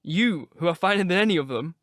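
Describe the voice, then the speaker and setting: A man reading aloud, voicing a gentle, serious painter who speaks earnestly and pleadingly. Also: high-pitched, expressive, quick pace, dry recording, clean studio sound, voice pushed up.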